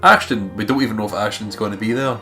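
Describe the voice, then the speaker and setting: A man's voice: fairly low pitch, fast, sharp tone. Guy co-hosting a lets play, casual chat, full of opinions on the choices, bit of music behind. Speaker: let's play co-host. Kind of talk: casual chat and commentary on a game. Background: game background music.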